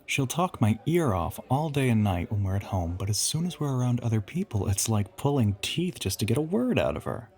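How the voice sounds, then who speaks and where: A man's voice: mid-range voice, very animated, fairly quick, few pauses, darkish tone. A man playing an ancient, teasing vampire who poses as a human boyfriend in an audio roleplay, drawling and often slipping toward a whisper. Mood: charming, polite.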